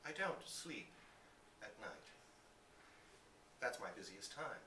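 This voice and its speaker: A man voicing a sly, feral teenage boy from a storybook, patronizing and unsettling, speaking with slow, teasing relish. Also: fairly low pitch, very animated, sharp tone, pitched below the performer's own voice, full character voice. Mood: cryptic, teasing. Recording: roomy, distant live recording with hiss.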